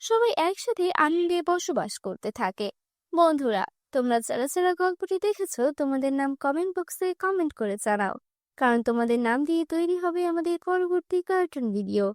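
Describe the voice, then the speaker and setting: A woman's voice: extremely high pitch, very animated, fairly quick, no echo, clean studio sound. A woman narrator reading a scripted fairy tale for children.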